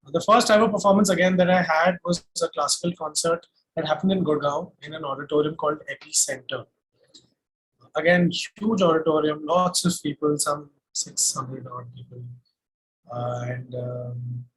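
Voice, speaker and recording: Husky, higher-pitched Indian man reminiscing. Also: a bit bright, rough recording. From Delhi, India. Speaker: singer-songwriter being interviewed. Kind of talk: casual reminiscing in an interview.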